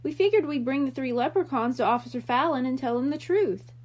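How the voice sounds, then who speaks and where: Woman as storybook boy, earnest, worried, pleading, very lively high voice. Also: brisk. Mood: sheepish, explaining. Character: young boy confessing to a prank, earnest and worried.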